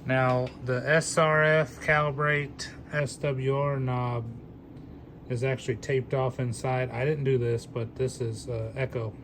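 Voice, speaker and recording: A man's voice: mid-range voice, flat delivery, measured pace, a bit bright, slight background noise. A man giving a casual, plainspoken demonstration of used gear he is selling, talking off the cuff.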